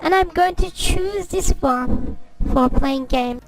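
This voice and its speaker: A playful, chirpy gamer character a man using a pitch-raising voice changer. The voice is high and slow, chatting casually. It sounds distant and processed, with faint music underneath. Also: exaggerated voice. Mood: cheerful. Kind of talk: casual gaming chat.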